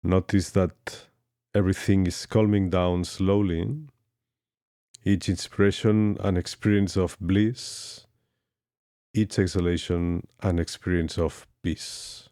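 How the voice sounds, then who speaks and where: Very deep, slow male meditation guide. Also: flat delivery, warm tone, no echo, studio quality. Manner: slow, soothing instructions with long pauses. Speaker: yoga instructor. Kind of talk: guided yoga nidra meditation.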